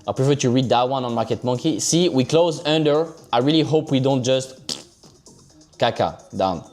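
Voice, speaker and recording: A man with a French accent at a mid pitch talks his viewers through charts in a loose, chatty livestream. He keeps checking in with his audience and restarts sentences as he goes. Soft music plays quietly underneath. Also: expressive, normal speed. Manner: loose and chatty, keeps saying "so basically" and "okay?". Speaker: livestream crypto trader. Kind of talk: casual live trading commentary.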